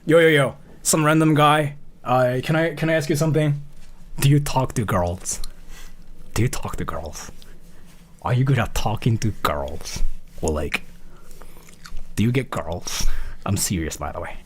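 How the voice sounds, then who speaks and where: A man in casual livestream mode with a mid-range voice. He rambles along haltingly, circling back and repeating phrases as he gives his commentary. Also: mid-range voice, slight background noise. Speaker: live streamer reacting to a video.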